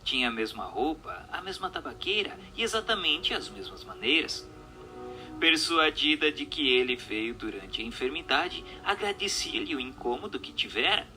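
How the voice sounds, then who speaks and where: A man with a Brazilian Portuguese accent reads a book aloud as a narrator, in formal, measured, dramatic storytelling. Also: medium pitch, sing-song, bright, forceful tone. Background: music.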